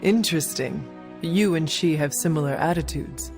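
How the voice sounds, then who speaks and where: Poised, formal warrior heroine. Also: female voice, low-pitched, over music. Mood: formal, inquisitive. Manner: formal, measured, few contractions. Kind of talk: video game cutscene dialogue.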